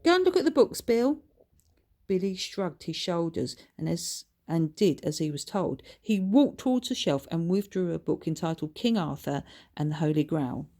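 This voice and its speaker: A woman's voice: deep voice, moderate pace, mellow. Woman narrating a children's story. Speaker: author reading her own book.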